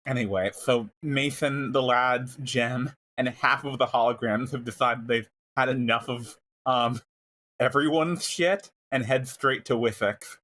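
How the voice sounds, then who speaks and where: A man's voice: mid-range voice, measured pace, pushed and bright, a little hiss or hum. A man co-hosting a podcast, casually recapping a story among friends. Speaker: podcast co-host.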